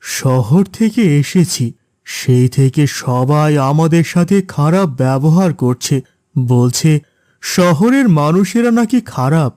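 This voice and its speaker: Casting: man to play gentle middle-aged cartoon dad, warm relaxed tone, measured and reassuring. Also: a little high, no echo, studio quality. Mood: resigned. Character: gentle, patient, reassuring middle-aged village-born father. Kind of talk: cartoon voice acting.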